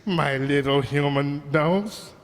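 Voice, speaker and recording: A man's voice: on the high side, slow pace, in a large hall. A man playing a pushy, scheming Italian immigrant restaurant owner in an old-time radio comedy, exclaiming and wheedling in broken English with an Italian accent. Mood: persuasive.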